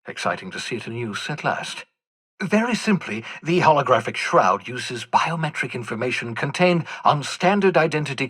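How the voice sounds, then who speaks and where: Eccentric, husky, excitable older scientist. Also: male voice, medium pitch. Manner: theatrical, erudite, rambling, swinging from mutters to exclamations. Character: eccentric older male mad scientist, excitable, vain rebel. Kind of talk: video game character dialogue.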